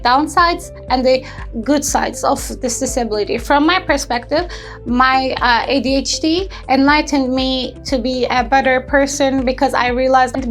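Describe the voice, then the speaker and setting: A young woman from Turkey, speaking in a personal testimonial interview as an intern and entrepreneur. She talks earnestly, often pausing with fillers and restarting sentences as she searches for words. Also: high voice.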